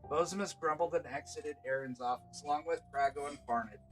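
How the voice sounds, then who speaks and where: A man's voice: fairly high pitch, brisk, faint music behind. A man reading fantasy story narration as the host and narrator of a game.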